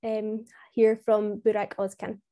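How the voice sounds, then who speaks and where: A woman hosting the event, briskly introducing the next speakers. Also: mid-range voice, completely flat delivery, mellow. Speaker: event host.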